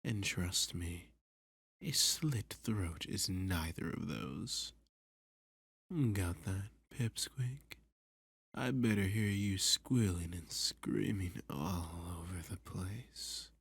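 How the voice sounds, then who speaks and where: A man playing a dominant, flirty villain who taunts a hostage in an intimate ASMR roleplay, often dropping to a breathy, teasing whisper. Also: deep voice, a bit bright, no room sound, full character voice. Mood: threatening, dominant. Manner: slow, teasing, sadistic, possessive.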